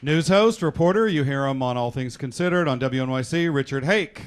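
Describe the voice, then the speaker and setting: A man's voice: medium pitch, a little fast. A man hosting a live stage show, introducing performers in an announcer-like way with conversational asides. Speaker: host and director introducing a cast. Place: theatre-style performance space before an audience. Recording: live hall, clear crowd noise. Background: audience noise.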